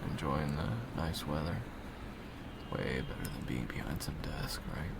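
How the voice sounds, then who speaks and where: A young man in an audio roleplay, talking in low, sardonic murmured asides. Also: expressive, a little slow, vocal fry, heavy background noise. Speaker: roleplay voice actor playing assassin roommate.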